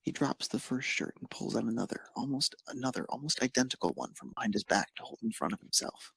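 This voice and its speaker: Gravelly, husky narrator voice. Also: medium pitch, animated, fast, fairly bright tone, no room sound. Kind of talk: casual chat and fan fiction narration.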